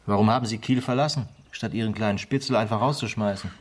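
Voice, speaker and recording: An actor playing a police inspector in a radio drama, blunt and probing, with a matter-of-fact, businesslike manner. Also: male voice, lowish voice, steady tone, fast talker, close to an everyday voice. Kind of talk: naturalistic radio drama acting.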